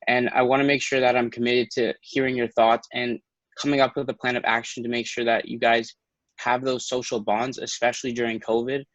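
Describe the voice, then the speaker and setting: A man's voice: medium pitch, level tone, fairly quick, a bit bright, no room sound, muffled recording. A young man, a student candidate, giving an earnest, rehearsed campaign speech. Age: young adult.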